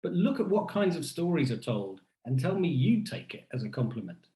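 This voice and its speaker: A man gives a scripted keynote in the first person, with a roomy echo around his voice. His delivery is deliberate and rhetorical, leaning on emphatic pauses. A wry edge runs through the narration. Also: a little high, very animated, mellow. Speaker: academic keynote speaker.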